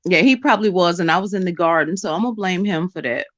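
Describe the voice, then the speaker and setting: A woman's voice: fairly low pitch, dry recording, muffled recording. A woman chatting casually as a quiz contestant, playful in manner. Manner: playful, quick to laugh. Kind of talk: casual chat.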